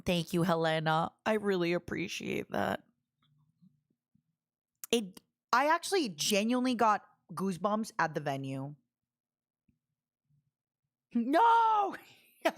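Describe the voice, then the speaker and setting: A woman's voice: mid-range voice, animated, slow pace, pauses often. A woman from Ukraine with a Russian accent, streaming a casual reaction, giggly and self-deprecating, now and then squealing in delight.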